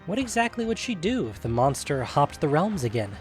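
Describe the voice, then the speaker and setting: A man's voice: fairly high pitch, very animated, brisk. Male fantasy story narrator over music.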